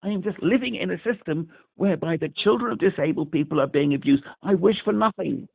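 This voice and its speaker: Male British caller on a phone line, very gravelly and husky, agitated and rambling. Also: sits high, brisk. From Britain. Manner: rambling, agitated, repeats himself. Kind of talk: phone call to a helpline. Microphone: telephone.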